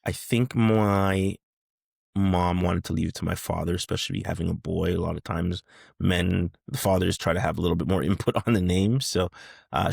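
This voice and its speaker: A man's voice: sits low, gravelly, slightly rough, no room sound, studio quality. A man in his thirties from Canada, speaking as a guest in a casual interview, hesitant with many fillers and restarts. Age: 30s.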